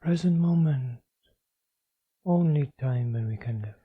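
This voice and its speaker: A man leading a guided meditation as a teacher, speaking calmly and in measured short phrases with long pauses. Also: medium pitch, husky, no echo, phone-quality sound.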